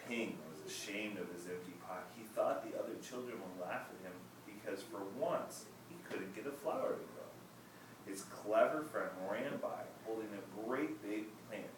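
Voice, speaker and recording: A man's voice: fairly low pitch, moderate pace. Man reading a story in an echoey room. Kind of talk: children's picture book read-aloud. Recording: echoey room, distant mic, background noise.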